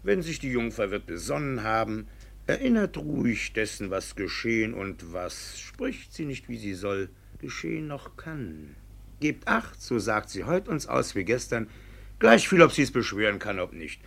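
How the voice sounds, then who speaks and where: Village judge, gravelly and forceful, blustering, evasive, classic radio comedy. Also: male voice, on the low side, sing-song, unhurried, full character voice. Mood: evasive, flustered. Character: devious, blustering village judge hiding his guilt. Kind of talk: classic German stage comedy as radio play.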